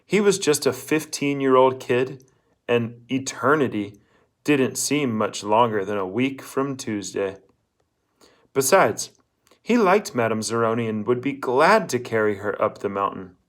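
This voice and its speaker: A man's voice: medium pitch, a little slow. A man narrating a children's novel, reading aloud from the text as a storyteller. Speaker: audiobook reader.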